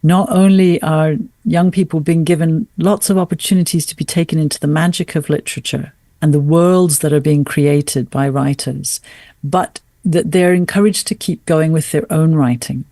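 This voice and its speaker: Mellow middle-aged woman, dry close sound. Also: deep voice, steady tone, moderate pace, no echo. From raised in South Asia, educated in Australia. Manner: earnest, articulate, emphatic. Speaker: podcast guest, author and former English teacher. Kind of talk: conversational interview.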